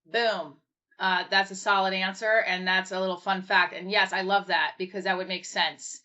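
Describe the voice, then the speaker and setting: A woman's voice: mid-range voice, flat delivery, normal speed, sharp tone. A woman hosting a casual livestream chat, asking loose, rambling questions with a self-deprecating streak. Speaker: livestream host. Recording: dull lo-fi stream audio.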